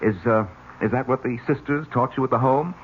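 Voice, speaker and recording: An actor playing an impatient, scornful schemer in an old-time radio mystery, curt and sarcastic. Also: male voice, medium pitch, fairly quick, natural voice. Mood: stern. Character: impatient, scornful scheming nephew of a wealthy aunt. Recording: muffled hissy old radio recording.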